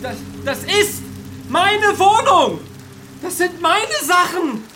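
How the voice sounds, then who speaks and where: An actor playing a neurotic, status-proud young man who is easily rattled, speaking haltingly and repeating himself in a radio play. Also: very high voice, big pitch swings, sharp tone, a little hiss or hum, heavily acted. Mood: indignant.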